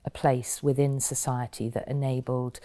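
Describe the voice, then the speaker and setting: Woman in her 50s from iran, super low mellow voice, talks slow and thoughtful with lots of ums. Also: level tone. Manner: measured and thoughtful, with frequent ums. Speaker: bishop, podcast guest. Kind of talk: reflective interview storytelling.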